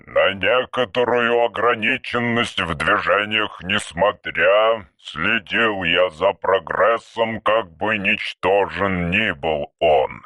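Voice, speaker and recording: Audiobook robot: ancient, deep, solemn archaic phrasing, read by a man. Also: pushed and bright, no echo. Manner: slow, old-fashioned inverted phrasing. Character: ancient male robot, slow archaic speech.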